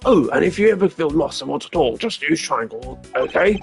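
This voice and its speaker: An eager, bumbling detective from a video game, acted out by a man, with a highish male voice and a gravelly edge. He is obliging and folksy, always deferring to his superior. Faint music plays behind him. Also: animated, brisk. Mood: earnest, sheepish. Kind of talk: video game character voice-over.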